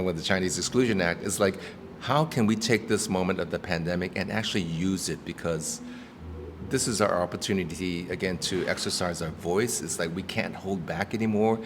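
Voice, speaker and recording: Low-voiced guy talking earnest in a restaurant, lots of ums and restarts, echoey with chatter noise behind. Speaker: actor and activist guest. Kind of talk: casual interview talk. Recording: roomy reverb with background noise.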